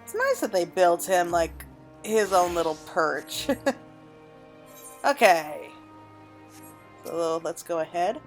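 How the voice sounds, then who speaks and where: Casting: woman gamer, slightly low voice, casual play-along commentary, chatty, music underneath. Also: very animated, slow pace, pauses often. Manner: chatty, thinking aloud. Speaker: gamer narrating a playthrough. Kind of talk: casual gameplay commentary, also reading game dialogue aloud.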